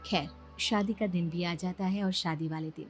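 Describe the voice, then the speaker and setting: A woman's voice: lowish voice, fairly even intonation, normal speed, soft background music. A woman reading scripted story narration as a storyteller.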